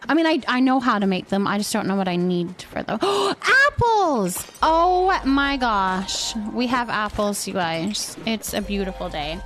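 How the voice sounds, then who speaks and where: A woman chatting casually while streaming a game, thinking out loud as she talks to her viewers. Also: medium pitch, very animated, measured pace, few pauses, a little hiss or hum. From California, United States. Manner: chatty, thinking aloud to herself. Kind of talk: casual gameplay stream chat.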